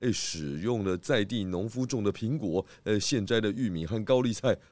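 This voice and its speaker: A man's voice: on the low side, expressive, normal speed, darkish tone, no room sound, close to an everyday voice. A man playing a friendly, food-loving pig detective in a children's audio drama, hearty and amiable. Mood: curious, gentle. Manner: hearty, amiable, hungry enthusiasm.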